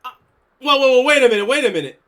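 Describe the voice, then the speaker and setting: A young man streaming, reacting casually to a video, excitable and swearing freely. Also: very high-pitched, very fast. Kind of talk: live reaction stream chat.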